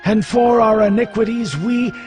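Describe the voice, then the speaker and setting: A man's voice: sits high, normal speed, darkish tone, soft background music, lightly acted. An actor playing a grief-stricken, devout priest in a dramatized scripture reading, solemn and pleading as he declaims. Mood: sorrowful, solemn. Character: grief-stricken, ashamed, devout male priest praying to God.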